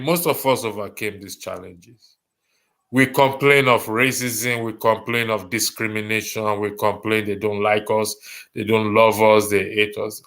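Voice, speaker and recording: Bright-voiced Nigerian man hosting a discussion. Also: mid-range voice. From Lagos, Nigeria. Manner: halting, repeats phrases for emphasis. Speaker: host of a diaspora discussion show. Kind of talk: moderating a panel discussion.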